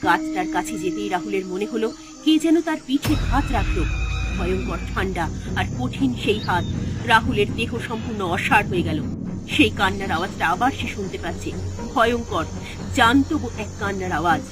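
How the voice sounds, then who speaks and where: A woman's voice: medium pitch, expressive, normal speed, loud music behind the voice. A woman narrator reading a scripted horror story in a dramatic style.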